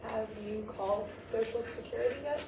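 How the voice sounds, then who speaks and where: Grieving teen daughter read by a woman, earnest stage drama, higher voice, echoey room. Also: fairly even intonation, fairly quick, muffled recording, natural voice. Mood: matter-of-fact. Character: Ivy, grieving seventeen-year-old half-Japanese American girl, practical daughter. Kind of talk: staged reading of a play. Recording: roomy, distant mic, faint noise.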